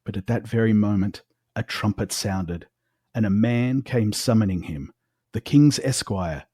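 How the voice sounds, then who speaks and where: A man's voice: on the low side, unhurried, dark tone, husky, no room sound, clean studio sound. A man reading fantasy prose aloud as a guest, in a dramatic storytelling style. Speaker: guest reader.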